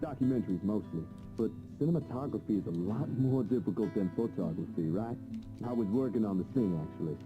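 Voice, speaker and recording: An actor playing a casual, modest, chatty freelance photographer in a visual novel game. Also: male voice, fairly low pitch, animated, fairly quick, over music, lightly acted, poor audio. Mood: easygoing. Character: easygoing freelance magazine photographer who dreams of filmmaking.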